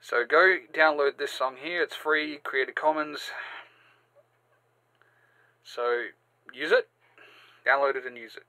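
A man hosting a step-by-step software tutorial, halting and sometimes repeating or correcting himself. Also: on the high side, steady tone, clear, resonant tone, no room sound, rough recording. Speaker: tutorial host. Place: indoors, screen-recording voice-over.

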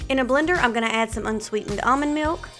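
A woman in her thirties hosting a cooking channel, walking through meal prep in clear step-by-step voice-over. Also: a little high, fast talker, fairly bright tone, soft background music. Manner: clear step-by-step instructional delivery. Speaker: host of a healthy cooking channel. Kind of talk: upbeat recipe walkthrough with voice-over.

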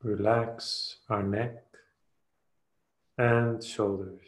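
A man leading a guided meditation as a teacher, speaking soothingly in short phrases with long pauses. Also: on the low side, steady tone, roomy sound.

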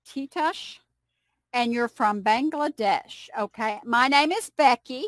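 Older Kentucky woman, slow and high. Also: dry recording, studio quality. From United States. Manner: warm, explaining slowly with restarts. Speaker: English conversation partner. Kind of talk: casual video call chat. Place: home room indoors. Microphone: headset on video call.

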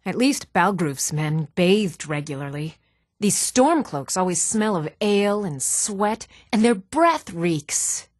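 An actress playing an even-toned townswoman and shopkeeper in a fantasy video game, speaking in measured, clearly enunciated, theatrical phrases. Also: lowish voice, no echo, clean studio sound. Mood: indignant, wry. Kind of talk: scripted video game voice acting.

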